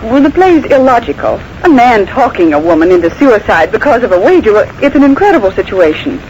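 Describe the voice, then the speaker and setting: An actress playing a guarded, quietly defiant young woman with cool, polite manners in a 1940s radio mystery. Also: a little high, sing-song, brisk, highs cut off. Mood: hesitant. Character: guarded, principled young female script reader, quietly defiant. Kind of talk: 1940s radio acting with first-person narration. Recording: noisy vintage 1940s radio broadcast.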